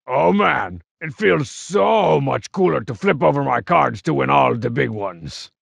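A man voicing a cocky, juvenile, short-tempered masked cartoon villain for a video game, gravelly and raspy, with boastful, mocking, slangy delivery. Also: medium pitch, expressive, unhurried, no echo, heavily acted. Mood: gloating. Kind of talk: video game character barks.

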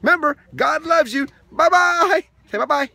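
A man's voice: very high voice, moderate pace, gravelly, no room sound. A man in his thirties, a father playfully reading a picture book aloud to his young son in the back of a minivan. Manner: playful, animated storytelling with asides to the child.

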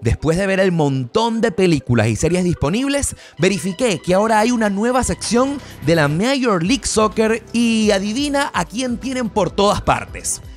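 A man's voice: high voice, animated, moderate pace, few pauses, faint music behind. A man narrating a scripted tech review in his vlog, with an enthusiastic storytelling manner. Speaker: tech vlogger.

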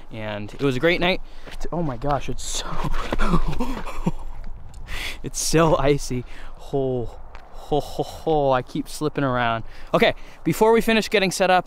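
A man's voice: a little high, slight background noise. A young man talking casually and cheerfully to the camera while camping outdoors in snowy mountains. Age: young adult. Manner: chatty and upbeat, talks to the camera. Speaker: vlogger. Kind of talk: casual vlog narration.

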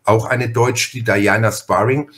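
A man's voice: fairly low pitch, fairly even intonation, measured pace, fairly bright tone. A man chatting as a podcast host in an effusive, long-winded, personal way. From Franconia, Germany. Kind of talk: conversational interview chat.

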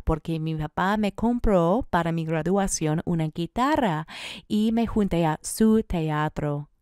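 A woman's voice: mid-range voice, warm tone, clear, resonant tone, dry recording, studio quality. A woman in her sixties from Colorado speaking on a panel, blunt and emphatic, with rising rhetorical questions, into a handheld mic in a conference room. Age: 60s. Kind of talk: public panel talk. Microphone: handheld microphone.

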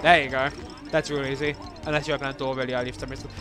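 A man's voice: medium pitch, little pitch movement, rapid-fire, a bit bright. A young man casually commenting on his own game levels as he plays, rambling and self-deprecating, with lots of fillers and restarts.

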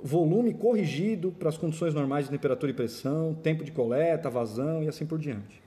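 A man's voice: on the high side, fast talker, dark tone. A man with a Brazilian accent gives an informal, step-by-step equipment demonstration in an explanatory way. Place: indoor office or lab.

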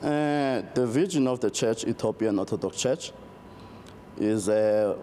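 A middle-aged South African man, a pastor, answering interview questions slowly with many fillers and restarts, recorded in a TV studio on a lapel mic. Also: fairly low pitch, dark tone, a little hiss or hum.

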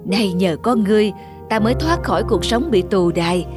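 A solemn, aged fairy-tale god, acted by a woman, in a medium-pitched voice. He speaks with measured, admonishing gravity. Music runs clearly behind the cartoon dialogue. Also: lively intonation, natural voice. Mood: solemn, grateful. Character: solemn old male god freed from long imprisonment.

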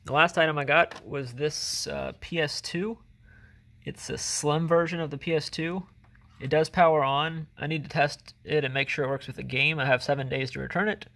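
A man casually talking through items he has sold, relaxed and rambling, often correcting himself, recorded on a handheld phone at home. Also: a little high, normal speed, gravelly. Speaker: reseller vlogger. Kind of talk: casual haul video narration. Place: indoors at home, filming items on floor.

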